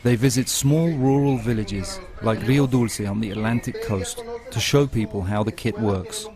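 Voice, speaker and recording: A man reading scripted documentary narration in a steady, even storytelling manner. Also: fairly low pitch, normal speed, crowd noise.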